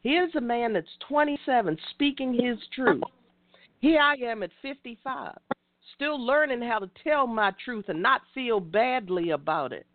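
A woman's voice: fairly high pitch, animated, unhurried, no room sound, narrow, tinny audio. A woman in her fifties hosting a call-in talk show, speaking in a preachy way and addressing listeners directly. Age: 50s. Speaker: radio show host.

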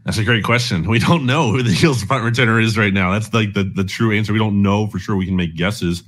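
A man with a low-pitched voice speaks as a guest analyst on a sports show. He talks through football in a casual, conversational way. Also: fast talker, a bit bright.

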